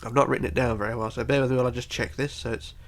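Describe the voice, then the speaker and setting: A man's voice: mid-range voice, level tone, very fast. A man with a bunged-up, congested voice giving a casual step-by-step building tutorial, counting aloud methodically. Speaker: tutorial host.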